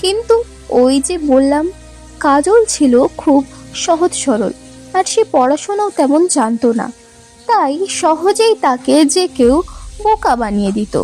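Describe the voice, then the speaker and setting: A woman's voice: high voice, sing-song, slow pace, a little mellow. A woman narrating a scripted children's story as a storyteller, with music behind her. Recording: music bed under the voice. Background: background music.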